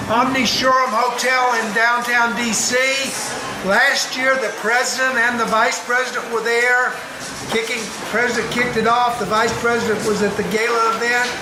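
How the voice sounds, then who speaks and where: Brisk man with a very high voice. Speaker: event announcer. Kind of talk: announcing an upcoming event. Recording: echoey room, loud background noise.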